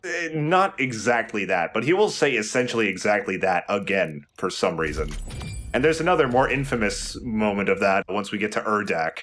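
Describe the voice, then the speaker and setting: A man's voice: fairly low pitch, animated, moderate pace, fairly bright tone, a little hiss or hum. A young man giving casual, explanatory video game commentary with some swearing. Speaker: let's play host and player.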